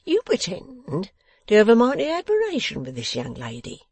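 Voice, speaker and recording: A woman voicing a blustering, contemptuous older rich man in an audiobook, loud, mocking and emphatic. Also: deep voice, very animated, unhurried, no echo, exaggerated voice. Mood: taunting. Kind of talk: dramatised audiobook reading.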